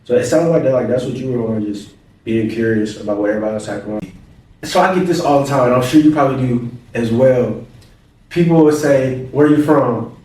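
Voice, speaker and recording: A man's voice: medium pitch, brisk, dark tone, distant mic. A young American man hosting an interview, thoughtful and curious, with lots of "like" fillers. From United States. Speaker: podcast host. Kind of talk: casual interview conversation. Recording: echoey room, mic set back.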